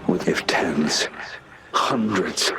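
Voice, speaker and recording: A man voicing a grim, embittered veteran general in a video game, slow and brooding, with loud music underneath. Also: sits low. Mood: grim resolve. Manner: slow, brooding, dramatic declamation.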